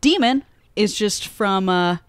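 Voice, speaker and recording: A woman's voice: medium pitch, lively intonation, slow pace, clear, resonant tone, no room sound, clean studio sound. A woman in her twenties from the United States, a podcast guest chatting in a playful, giggly, self-mocking way. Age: 20s. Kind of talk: casual chat.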